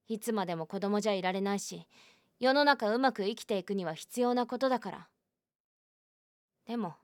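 A woman voices a stubborn, lonely high school girl in a voice drama. She speaks quietly and confessionally. The recording is dry and close. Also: dry recording, natural voice. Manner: quiet, confessional, rising to anguished outbursts. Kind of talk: voice drama acting.

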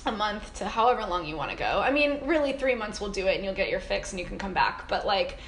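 A woman's voice: mid-range voice, lively intonation, quick pace, fairly bright tone. An American woman chatting casually and informally, rambling and often saying "like". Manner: rambling, informal, heavy use of "like". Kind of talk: casual conversation about travel. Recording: roomy echo, faint background noise.

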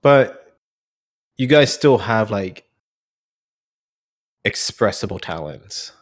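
A man chatting casually, with a halting, informal delivery. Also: lowish voice, expressive. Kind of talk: casual chat.

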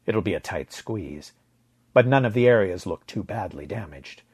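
A man's voice: deep voice, expressive, moderate pace, slightly rough. A man narrating first-person science fiction fiction from a script as a storyteller.

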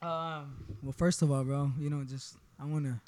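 Barbershop owner from Honduras, twenties, easygoing storyteller, smooth tone. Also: male voice, on the high side, steady tone, normal speed, darkish tone. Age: 20s. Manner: laid-back, often says "you know what I mean". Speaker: podcast guest, barbershop owner. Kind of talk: casual personal storytelling.

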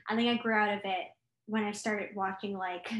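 A woman's voice: mid-range voice, fairly even intonation, moderate pace. A young woman co-hosting a casual chat, talking freely with lots of fillers and laughs. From Chinese heritage. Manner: chatty, laughs often, many fillers. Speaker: co-host. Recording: echoey room.

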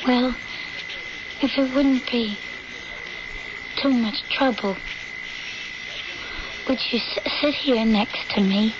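An actress playing a fragile, needy woman in an old-time radio drama, speaking in a halting, timid way. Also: on the high side. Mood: timid, pleading. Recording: muffled, noisy old radio recording.

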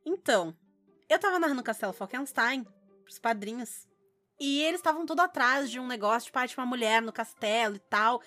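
A woman with a southern Brazilian accent from Rio Grande do Sul, co-hosting a casual podcast and telling anecdotes in animated, slangy speech. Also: on the high side, brisk, faint music behind. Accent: southern Brazilian Portuguese from Rio Grande do Sul. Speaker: podcast co-host. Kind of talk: casual chat, storytelling.

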